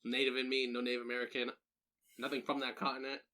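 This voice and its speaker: A man trading quick jokes and teasing remarks with his podcast co-host, words tumbling out at a brisk clip. His voice sits at a plain middle pitch. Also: level tone, bright, forceful tone. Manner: joking, teasing banter. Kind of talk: casual chat.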